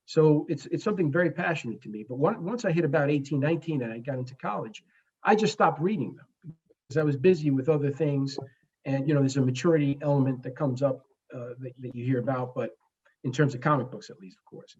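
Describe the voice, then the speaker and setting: A man in his forties from the Bronx, a native Spanish speaker, speaking earnestly and haltingly as a guest in a conversational interview. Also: mid-range voice, darkish tone, slightly rough, lo-fi sound. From the Bronx, New York, United States. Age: 40s. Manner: earnest, halting, frequent pauses mid-phrase. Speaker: guest, comic book creator.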